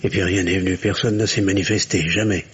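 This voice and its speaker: A man's voice: sits low, steady tone, brisk, close to an everyday voice. An actor playing a nervous, sarcastic middle-aged family man in a French radio drama, hesitant and swinging between obsequious and indignant. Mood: anxious musing. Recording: dull, noisy old radio recording.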